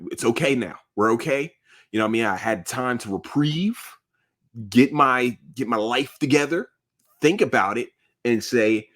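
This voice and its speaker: A man in his thirties hosting a podcast, talking casually and jokingly in a rambling, animated way. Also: medium pitch. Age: 30s. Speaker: podcast host. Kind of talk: casual solo podcast monologue.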